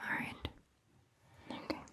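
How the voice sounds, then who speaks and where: A woman playing a caring vampire doctor in an ASMR roleplay, whispering gently and reassuringly in a breathy, hushed voice. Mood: gentle, reassuring. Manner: gentle, slow, reassuring, softly questioning. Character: caring, attentive female vampire doctor tending a patient. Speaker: ASMR performer. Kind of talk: soft-spoken ASMR roleplay, mostly whispered.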